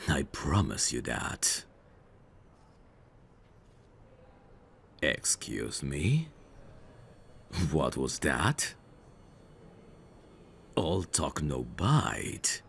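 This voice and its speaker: A man acting out a dangerous, suave mafia boss for an ASMR roleplay, with an extremely deep voice that creaks with gravel. He takes his time, drawing words out very slowly in a cocky, flirtatious, teasing way. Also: very animated, a bit bright, slight background noise, full character voice. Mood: cocky, seductive. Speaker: voice actor.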